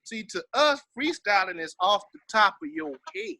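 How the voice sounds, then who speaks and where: A man's voice: extremely high pitch, sing-song, moderate pace, vocal fry, bright, forceful tone, dry recording. A man with a Texas Southern accent talking casually in an interview, emphatic and full of slang and swearing. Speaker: interview guest, hip-hop veteran.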